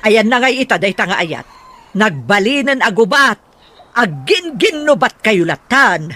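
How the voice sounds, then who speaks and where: A woman's voice: mid-range voice, sing-song, measured pace, sharp tone, exaggerated voice. An actress playing an exasperated, scolding mother in an Ilocano radio drama.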